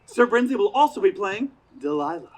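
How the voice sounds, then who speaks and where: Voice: mid-range voice, lively intonation, fairly quick, close to an everyday voice. An actor playing a pompous, tyrannical radio playwright in a stage comedy, declaiming in a grandiose, imperious way. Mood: solemn announcing. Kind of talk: community theater stage comedy acting. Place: outdoor stage in a parking lot.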